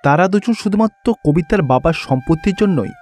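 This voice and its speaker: A man's voice: high voice, expressive, a little fast, darkish tone. A man reading scripted narration for a cartoon fairy tale as its storyteller. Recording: background music under the voice.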